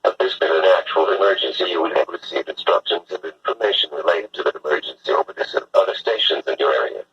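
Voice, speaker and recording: A man's synthetic text-to-speech voice reading a scripted emergency test announcement in a flat, formal manner. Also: very deep voice, quick pace. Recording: muffled, noisy weather radio broadcast.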